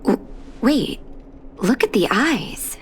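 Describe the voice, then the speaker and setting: An actress playing a weary, caring carer of children in a video game, measured and gently scolding, exasperated yet fond. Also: female voice, on the high side, big pitch swings, a little hiss or hum. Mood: puzzled, curious. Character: weary, caring adult woman, doctor and orphanage carer. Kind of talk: video game character acting.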